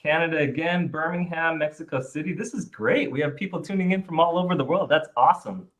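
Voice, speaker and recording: A man's voice: fairly high pitch, fast, fairly bright tone. Upbeat man hosting a webinar. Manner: upbeat, chatty, many fillers and restarts. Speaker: webinar host. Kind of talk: webinar presentation. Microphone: video call.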